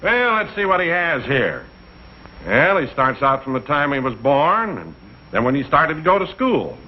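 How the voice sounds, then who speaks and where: A jovial American colleague in an old sitcom. His voice is fairly high, with hearty, announcer-like delivery, on a dull vintage soundtrack. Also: huge pitch swings, moderate pace. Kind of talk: 1960s sitcom acting.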